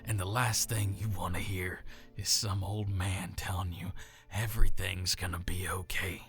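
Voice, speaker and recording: A scarred old orc mentor speaks low and hushed, in a deep, throaty, clenched rasp with a subtle Southern twang, as a man puts him on. He sounds worn by a long, hard life yet gentle in how he speaks. Faint music plays softly behind. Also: little pitch movement, moderate pace. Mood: consoling. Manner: quiet, hushed, clenched delivery.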